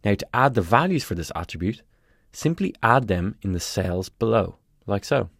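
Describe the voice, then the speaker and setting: A man presenting a scripted tutorial, giving clear step-by-step instructions in a close, dry voice-over recording. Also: lowish voice, expressive, moderate pace, fairly bright tone, dry recording.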